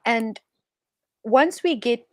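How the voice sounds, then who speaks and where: A woman's voice: fairly high pitch, expressive, drawn-out words, darkish tone, no room sound, clean studio sound. A woman guest on an online talk show, speaking in a thoughtful, expansive way with frequent restarts. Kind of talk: reflective online conversation. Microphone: video call.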